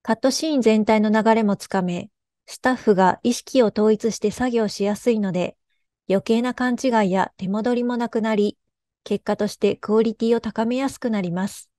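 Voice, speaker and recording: A woman's voice: a little high, moderate pace, warm tone, no echo. A woman giving a scripted presentation as a lead artist.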